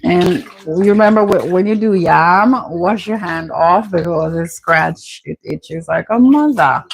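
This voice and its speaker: Woman, Jamaican accent, middle-aged, slow easy pace, playful casual cooking chat, faint background noise. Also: fairly low pitch, vocal fry, low-quality recording. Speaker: cooking vlog host. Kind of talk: casual chatty cooking commentary. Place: home kitchen.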